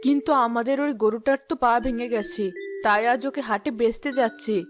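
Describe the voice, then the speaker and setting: High-voiced village mother played by an actress. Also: brisk, lightly acted. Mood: hesitant, resigned. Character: anxious, practical poor village mother and wife. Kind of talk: cartoon story acting. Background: music.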